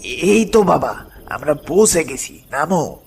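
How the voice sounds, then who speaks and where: A man playing an older village boatman, frightened and hesitant, slowly telling a dramatic horror story with a creaky voice. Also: fairly high pitch, sing-song, slight background noise, exaggerated voice. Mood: subdued. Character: frightened village boatman uncle recounting his haunted past. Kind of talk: horror cartoon story narration. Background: music.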